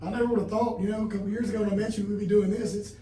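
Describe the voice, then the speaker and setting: A man's voice: high voice, steady tone, racing, mellow. A thirty-year-old man hosting a casual podcast chat in a laid-back, agreeable way. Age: 30s. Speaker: podcast host. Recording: echoey room, mic at a distance.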